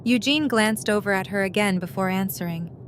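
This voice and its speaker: A woman's voice: mid-range voice, a little fast. Woman narrating a story, faint noise.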